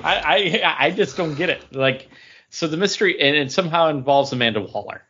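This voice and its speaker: A man's voice: a little high, expressive, a little fast, sharp tone, slightly rough. A man co-hosting a podcast in casual, informal chat. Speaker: comics podcast co-host. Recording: dull lo-fi audio.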